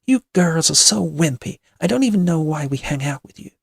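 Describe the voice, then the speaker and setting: A woman's voice: deep voice, expressive, normal speed, husky, no room sound, clean studio sound, voice pushed up, full character voice. A woman voicing a mean, bossy young girl in a witch costume, taunting in valley-girl slang. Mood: scornful, bossy.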